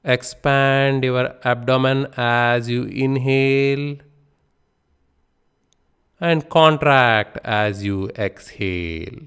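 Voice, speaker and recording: Slow, smooth-voiced male meditation guide. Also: medium pitch. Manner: slow, calm, unhurried guidance with pauses. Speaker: meditation instructor.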